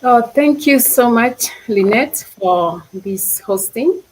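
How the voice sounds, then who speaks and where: A woman's voice: a little high, animated, slow pace, slight background noise, poor audio. A middle-aged woman with a Kenyan accent casually introduces herself as a panelist.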